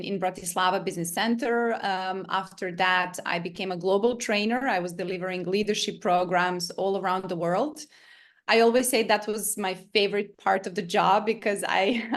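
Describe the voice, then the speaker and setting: A woman in her thirties from Serbia, answering interview questions with frequent hesitations and fillers. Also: mid-range voice. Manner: hesitant, many ums. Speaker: guest coach and trainer.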